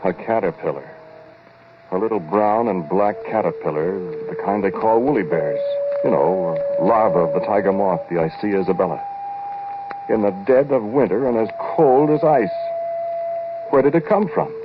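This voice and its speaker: An actor playing a knowing storyteller who confides deliberately and directly in the listener, in a 1940s radio drama. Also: male voice, fairly low pitch, animated, natural voice. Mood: musing, ominous. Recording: dull, very noisy 1940s radio recording.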